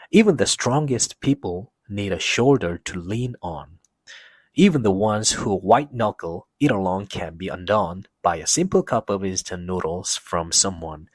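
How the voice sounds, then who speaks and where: A man's voice: low-pitched, breathy edge, no room sound. A man delivering scripted, reflective narration as a story's closing commentator.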